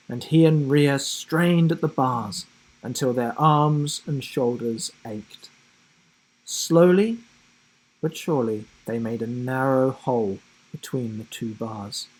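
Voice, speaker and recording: A male storyteller reads a children's book with drama and suspense. His voice is medium in pitch and slightly husky. He takes his time, leaving deliberate pauses and animating the action. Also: darkish tone, poor audio. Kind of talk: dramatic scripted storybook narration.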